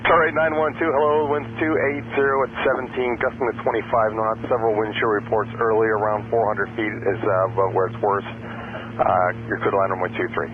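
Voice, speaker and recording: A male air traffic controller giving procedural instructions over aircraft radio, pausing as he picks his words. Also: on the low side, little pitch movement. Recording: tinny, very noisy aviation radio. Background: aircraft hum and radio static.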